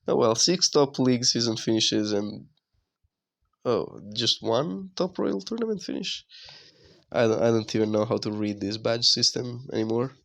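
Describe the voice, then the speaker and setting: A young man with a hoarse voice from being sick, giving casual, relaxed commentary over his own gameplay with lots of fillers. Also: mid-range voice, measured pace, long pauses. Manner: relaxed, conversational with frequent fillers.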